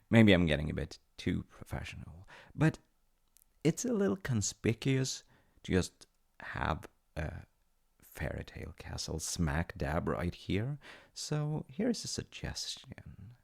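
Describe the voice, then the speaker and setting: A man playing a playful, tender wizard fiancé in an intimate ASMR-style audio roleplay, speaking to the listener in a gentle, coaxing way. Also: deep voice, wildly swooping pitch, slow pace, gravelly, breathy edge, heavily acted. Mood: playful, enthusiastic. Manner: gentle, coaxing, often dropping into whispers. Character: playful, tender male wizard fiancé showing off magical home.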